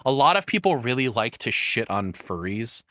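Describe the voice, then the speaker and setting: A man's voice: mid-range voice, sing-song, normal speed, fairly bright tone, no room sound. Casual, profane man with a very dry sound. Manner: profane, rambling, informal. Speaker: streamer reacting to a video. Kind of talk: casual live reaction commentary.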